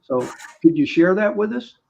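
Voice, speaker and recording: A man's voice: high-pitched, expressive, moderate pace, gravelly, a little mellow. A man hosting a live-stream interview, asking long rambling questions with restarts. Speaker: host. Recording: dull lo-fi sound.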